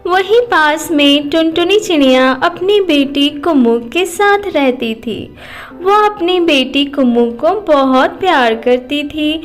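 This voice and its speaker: A woman narrating a scripted children's cartoon story. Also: sits high.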